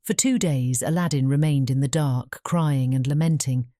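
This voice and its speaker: Low, mellow woman narrating a fairy tale. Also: moderate pace, no echo, clean studio sound.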